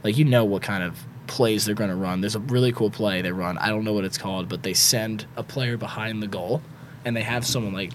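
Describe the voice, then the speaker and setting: A young man with a slightly raspy voice, talking in a quick, rambling way as a podcast guest. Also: on the low side, fairly even intonation, slight background noise. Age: young adult. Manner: quick, rambling, stacks filler words. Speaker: guest, student sports broadcaster. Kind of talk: casual podcast chat.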